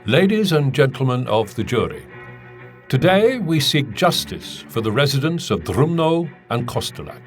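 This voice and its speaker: A man's voice: mid-range voice, sing-song, normal speed, faint music behind. A man playing a prosecuting attorney who gives a formal, earnest opening statement. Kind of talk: formal courtroom address.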